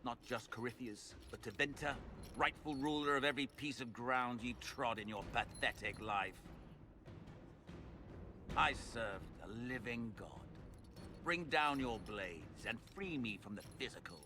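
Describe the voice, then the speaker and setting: A man's voice: mid-range voice, a little slow, background noise, lightly acted. An actor playing an arrogant, fanatical captive nobleman in a video game who speaks with haughty contempt. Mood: contemptuous. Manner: haughty, contemptuous, then shrieking in panic. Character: arrogant captive male Tevinter lord, fanatical.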